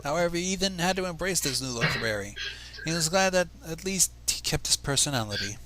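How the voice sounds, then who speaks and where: A man's voice: on the high side, expressive, fairly quick, heavy background noise. A man reading a story aloud with casual asides, stumbling over words and going back over them. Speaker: fan fiction reader.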